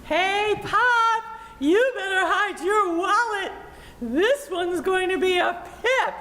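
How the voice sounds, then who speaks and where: Very high-pitched bratty little girl. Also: lively intonation, slow pace, pushed and bright, exaggerated voice. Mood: cheeky. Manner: taunting, insistent, childlike. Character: bratty, teasing little girl, the kid sister. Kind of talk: old-time radio comedy acting. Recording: echoey hall stage recording with faint noise.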